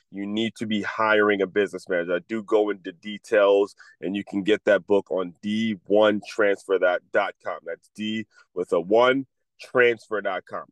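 A man's voice: on the low side, fairly bright tone, no room sound. A man hosting a podcast, talking casually and a bit congested, often restarting his sentences as he explains things to young athletes. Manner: rambling with frequent restarts. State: congested, under the weather. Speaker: podcast host. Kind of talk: casual solo talk, advice to athletes.

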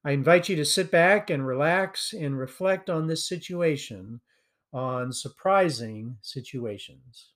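A man hosting a sermon podcast, delivering a prepared message in a measured way that trails off at the end of phrases. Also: on the high side, fairly bright tone. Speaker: podcast host and preacher.

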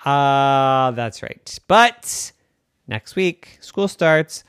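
A storyteller voicing a patient father who asks his daughter gentle questions. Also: mid-range voice, animated, very slow, clear, resonant tone, no echo, clean studio sound.

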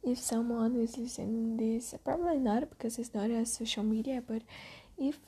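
A teenage girl from Brazil, a native Portuguese speaker with a Brazilian accent, talking hesitantly and softly in a casual spoken diary. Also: fairly high pitch, moderate pace, breathy edge. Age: teens. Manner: hesitant, many ums, often whispery and soft. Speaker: diarist.

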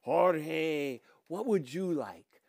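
A loud, high-pitched older woman played by a male reader, motherly and bossy, in an audiobook story. She gushes and coaxes, and gives orders as if everyone is her child. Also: extremely slow, vocal fry, no echo, studio quality, full character voice. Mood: hospitable, loud. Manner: loud, gushing, commanding.

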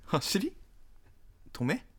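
A man acting as an aloof, put-upon young man in a radio drama, narrating and talking in a reserved, deadpan manner. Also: extremely slow. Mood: baffled. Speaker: narrator and lead character.

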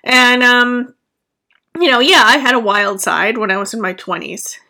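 A middle-aged woman hosts her own podcast in an earnest, reflective solo monologue. Her voice sits a little high, with a bright, sharp edge. She rambles along, full of fillers and restarts. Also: normal speed. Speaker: podcast host and business coach.

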